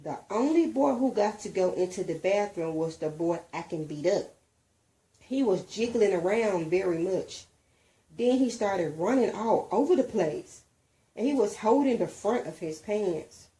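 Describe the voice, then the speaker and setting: Mellow woman reading a storybook, lively. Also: mid-range voice, measured pace, rough recording. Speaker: reader, mother. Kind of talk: expressive storybook reading aloud.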